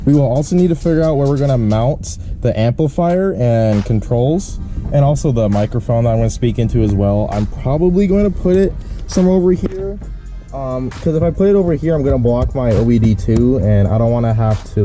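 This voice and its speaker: A man's voice: mid-range voice, dark tone. A man talking in a casual, laid-back, slangy way as a car vlogger explaining a hands-on job. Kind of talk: casual how-to chat.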